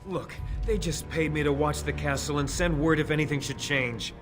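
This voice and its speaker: A man's voice: on the high side, steady tone, normal speed, natural voice. An actor playing a frightened man caught spying, stammering and pleading. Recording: game audio over loud music. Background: game music.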